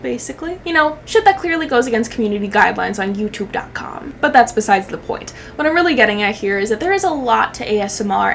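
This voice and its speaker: High-voiced, sardonic young woman. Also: gravelly, a little hiss or hum. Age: 20s. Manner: sardonic, sweary, chatty. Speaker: YouTube commentary host. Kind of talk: casual comedic commentary.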